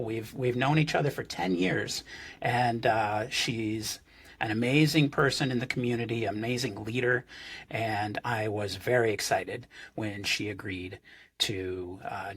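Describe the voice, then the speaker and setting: A man hosts a podcast, introducing his guest and then asking about her life. His voice is slightly husky, and his friendly questions stall on plenty of ums and uhs. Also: medium pitch, fairly bright tone. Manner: friendly, halting with many fillers. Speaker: podcast host. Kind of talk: casual interview.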